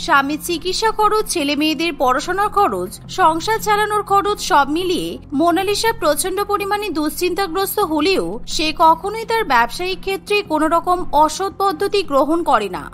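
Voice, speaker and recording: A woman's voice: very high-pitched, sharp tone. A woman narrating a scripted cartoon story.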